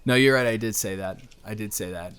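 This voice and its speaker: A man's voice: on the low side, brisk, a bit bright, clean tone, natural voice. A man improvising an audio roleplay as a friendly, slightly awkward young stranger, talking casually and earnestly to the listener with stammered restarts. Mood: gentle, tentative.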